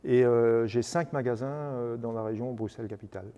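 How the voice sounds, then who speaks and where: A man, a baker and amateur racing driver, answering interview questions in casual chat, quick and full of restarts. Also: medium pitch, mellow, lo-fi sound. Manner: fast, with many repeated words and restarts.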